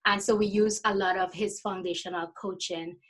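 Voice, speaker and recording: A woman in her forties with a Trinidadian accent, answering interview questions casually, often pausing to find her words. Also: medium pitch, steady tone, husky. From Trinidad and Tobago. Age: 40s. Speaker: interview guest, life coach.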